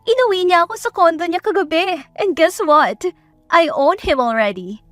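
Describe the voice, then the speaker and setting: A woman's voice: very high-pitched, animated, normal speed, no room sound, soft background music, voice pushed up. A woman voicing a haughty, sassy young woman in an audio romance, sarcastic and dramatic, mixing Tagalog and English. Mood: excited. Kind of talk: audio romance story character voice.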